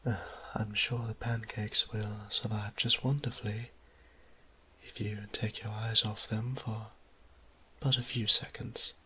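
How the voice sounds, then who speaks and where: A man playing a gentle, anxious vampire priest in an audio drama, hesitant and politely formal, with a husky, breathy voice. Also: fairly low pitch, flat delivery, unhurried, full character voice. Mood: flustered, awkward. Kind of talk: scripted audio roleplay acting.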